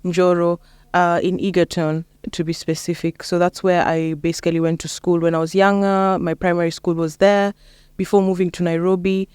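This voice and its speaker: A woman's voice: fairly low pitch, flat delivery, normal speed, darkish tone, clear, resonant tone, no room sound. A young woman with a Kenyan accent, chatting casually as an interview guest. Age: young adult. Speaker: interview guest, lawyer and mentor.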